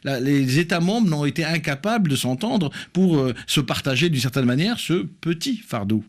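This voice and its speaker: A man's voice: a little high, expressive, normal speed. A man speaking as an academic guest in an interview, explaining analytically and repeating words for emphasis.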